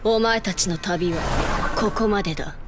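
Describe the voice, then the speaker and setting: A woman plays an imperious goddess from an anime game, cold and haughty. She delivers each line as a solemn judgment. Very loud music surrounds the voice. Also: medium pitch, normal speed, natural voice. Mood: cold, menacing. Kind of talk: Japanese anime game voice acting.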